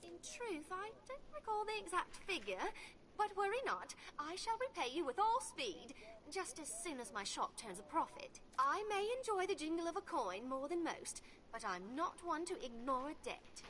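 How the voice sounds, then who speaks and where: A woman's voice: very high voice, very animated, normal speed, fairly bright tone, heavily acted. A woman playing a polite, coin-loving shopkeeper in a fantasy video game, speaking in flowery archaic phrases. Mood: polite, reassuring. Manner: archaic, flowery formal speech.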